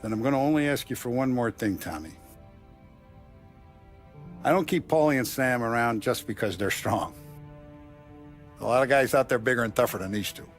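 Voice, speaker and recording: An actor playing a calm, authoritative mafia boss who lays down rules in a measured, lecturing way, in a game cutscene. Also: male voice, medium pitch, natural voice. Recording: faint music behind.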